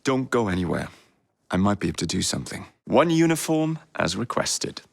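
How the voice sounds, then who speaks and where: An actor voicing a cocky, wisecracking young English assassin and gang leader in a video game, flippant and sardonic. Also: male voice, lowish voice, sing-song, fairly quick, creaky, no room sound, clean studio sound, heavily acted. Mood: brisk, purposeful. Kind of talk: video game character dialogue.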